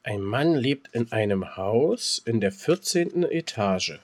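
A man chatting casually as a podcast co-host, repeating phrases excitedly as he explains. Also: mid-range voice, slow. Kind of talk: casual chat.

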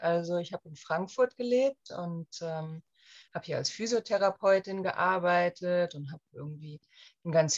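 Guest interviewee, German woman in her 30s, warm chatty storyteller, mid pitch, a bit muffled recording. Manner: chatty, many fillers, lively retelling. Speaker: guest, emigrant. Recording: slightly dull lo-fi sound.

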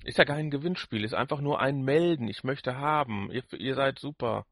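A man chatting casually as a podcast co-host, in a halting way with restarted phrases. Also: mid-range voice, a bit bright, no room sound. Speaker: podcast co-host reviewing audio dramas. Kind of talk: casual chat.

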